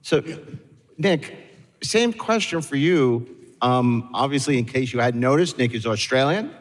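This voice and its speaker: A man's voice: medium pitch, animated, unhurried. A man casually moderating a live interview as host. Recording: echoey hall with long reverb.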